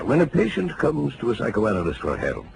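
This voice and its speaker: An actor playing an excitable older psychoanalyst, fussy and lecturing, in 1940s radio drama style. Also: male voice, mid-range voice, moderate pace, gravelly, heavily acted. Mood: lecturing, probing. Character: excitable, analytical older male psychoanalyst doctor. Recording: noisy old radio recording.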